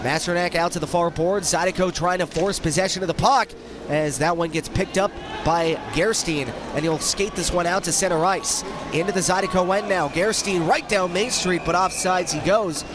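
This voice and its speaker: A man calling hockey play-by-play, sounding nasal from a head cold, with a rapid running commentary that rises on shots. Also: high-pitched, noisy background. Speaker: play-by-play announcer.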